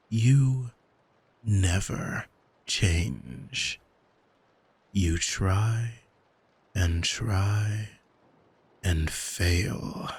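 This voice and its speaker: A man playing an arrogant, manipulative demon in an ASMR roleplay, coaxing in a smug, soothing, hypnotic manner with a breathy, husky voice. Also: sits low, a little mellow, heavily acted. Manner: smug, deliberate, soothing, commanding. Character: arrogant male demon, soul-owning manipulator, calm and seductive.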